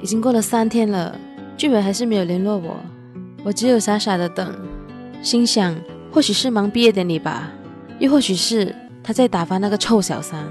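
A woman's voice: mid-range voice, normal speed, darkish tone, soft background music, close to an everyday voice. A woman acting a heartbroken, stubbornly loyal young woman in a radio drama. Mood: wistful. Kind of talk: radio drama narration and dialogue.

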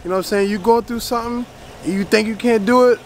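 A man's voice: high voice, quick pace. Chatty man vlogging outdoors. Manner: chatty, excitable, motivational. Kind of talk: casual vlog talk to camera. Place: outdoors at a house with a pool. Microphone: handheld camera at arm's length. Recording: noisy outdoor background.

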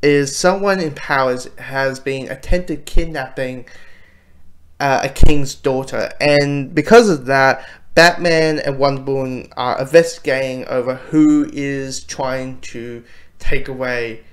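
A man's voice: mid-range voice, steady tone, takes it slowly. A man reviewing cartoons in a casual, enthusiastic, rambling way, often interrupting himself.

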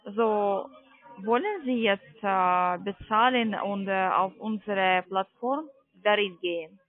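Slow, high-voiced man with foreign accent. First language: not German. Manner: halting, searching for words. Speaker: call centre sales agent. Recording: narrow phone-line sound.

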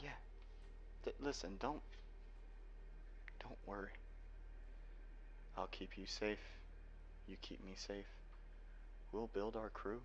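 A young man playing a guarded, protective cyberpunk hacker boyfriend in an ASMR roleplay, speaking earnestly and haltingly. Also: lowish voice. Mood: soft, reassuring. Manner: earnest, halting delivery with sighs. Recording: dull lo-fi home recording, faint noise.

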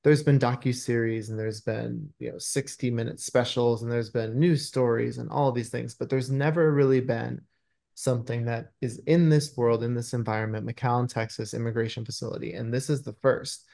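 Male interview guest, medium-pitched voice, conversational delivery over a video call. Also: fairly even intonation, moderate pace, vocal fry, dark tone. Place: indoor room, video call. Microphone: video call microphone.

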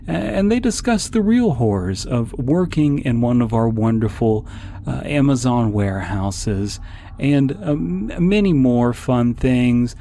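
Man, mellow voice, halting mock-corporate podcast intro, faint background noise. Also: mid-range voice, lively intonation, gravelly. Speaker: podcast host. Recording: noisy background ambience.

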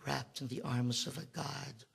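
A man in his thirties on a theater stage, performing spoken word at a stand mic, moving from a frantic comic rant to a hushed lyrical lament. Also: medium pitch, a little slow, creaky, husky. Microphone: stand mic, far camera.

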